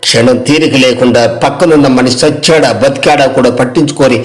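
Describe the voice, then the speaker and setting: A man's voice: mid-range voice, steady tone, quick pace, natural voice. A man voicing a stern, proud retired village teacher, an elderly father lecturing his children in an acted moral story. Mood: stern, scolding. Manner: scolding, lecturing.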